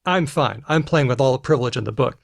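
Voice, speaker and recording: A man's voice: medium pitch, quick pace, vocal fry, no echo, studio quality. A man with an American accent hosting a podcast, chatting casually and adding self-deprecating asides. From United States. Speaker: podcast host. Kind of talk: casual conversational chat.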